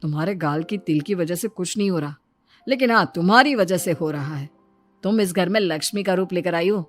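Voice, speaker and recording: A woman's voice: lowish voice, a little fast, soft background music, natural voice. A woman voicing an earnest, persuasive young man, a son and husband. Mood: reassuring.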